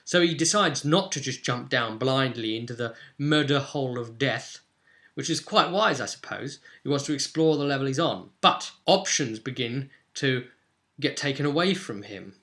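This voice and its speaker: A man casually recapping a tabletop roleplay session as game master, with expressive storytelling and dramatic emphasis. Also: mid-range voice, normal speed.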